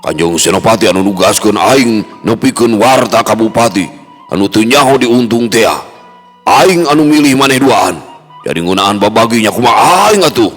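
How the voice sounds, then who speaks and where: A man voicing an angry, domineering male leader of a band of envoys in a folk story, scolding gruffly in coarse, commanding speech. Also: lowish voice, expressive, moderate pace, voice pushed up. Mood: indignant. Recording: long hall reverb, music underneath.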